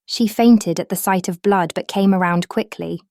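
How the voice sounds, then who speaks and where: Gravelly-voiced woman reading examples. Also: lowish voice, moderate pace, a little mellow, dry recording, studio quality. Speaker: reader of example sentences. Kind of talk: slow, clear example sentence reading.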